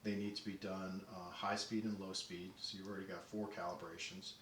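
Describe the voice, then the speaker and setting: Male technician, lowish voice, methodical and halting demo delivery, recorded away from the mic in a roomy space. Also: little pitch movement, a bit bright. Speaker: audio deck restorer demonstrating work. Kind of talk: unscripted technical walkthrough. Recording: roomy, distant mic, faint noise.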